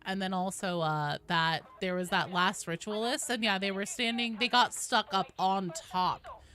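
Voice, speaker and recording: A woman's voice: mid-range voice, steady tone, measured pace, a bit bright. A woman doing casual live gaming commentary, informal and sweary, chatting with her viewers. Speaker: game streamer, host.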